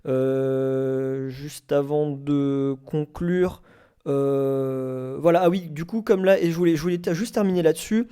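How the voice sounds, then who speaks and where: A man hosting a casual live podcast debate, speaking in hesitant, halting phrases. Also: on the high side, fairly even intonation, mellow, clear, resonant tone. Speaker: podcast host.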